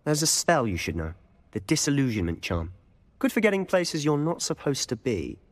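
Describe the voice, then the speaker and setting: An actor playing a cocky young wizard student with a smooth, conspiratorial, self-assured manner. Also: male voice, mid-range voice, sing-song, moderate pace, long reverb tail, close to an everyday voice. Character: cocky teenage wizard student, scheming, confident. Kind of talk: video game cutscene acting.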